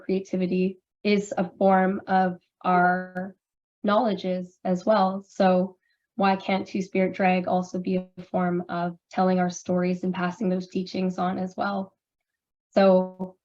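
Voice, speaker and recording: A woman panelist who performs drag, speaking casually and candidly in a hoarse voice she says she has lost, with many fillers. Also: lowish voice, darkish tone, muffled recording.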